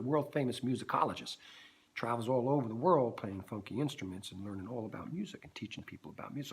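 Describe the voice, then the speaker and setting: A middle-aged man with a low bass voice, leading a playful music lesson for children and checking in often with the listener. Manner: animated, silly, keeps checking in with "right?".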